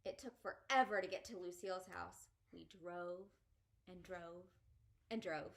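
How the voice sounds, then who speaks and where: A woman reading a children's story aloud as an expressive storyteller. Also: lowish voice, unhurried. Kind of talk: expressive children's book narration.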